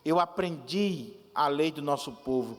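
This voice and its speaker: A man's voice: on the high side, animated, a little slow, in a large hall, faint music behind, pitched below the performer's own voice. A man preaching as he voices a proud, zealous ancient apostle, emphatic and asking indignant questions. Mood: earnest.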